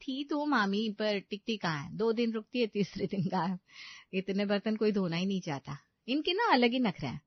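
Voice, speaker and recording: A woman's voice: medium pitch, big pitch swings, moderate pace, dry recording, dull audio. A woman voicing a devoted, cheerful young daughter-in-law in a story, polite, reassuring and eager to please. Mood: explaining.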